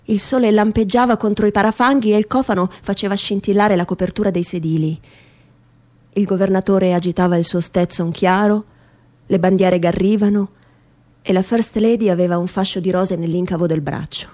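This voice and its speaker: A woman giving a dramatic literary reading in measured, emphatic phrases, in the style of radio theatre. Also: mid-range voice, fairly even intonation, dark tone. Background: music.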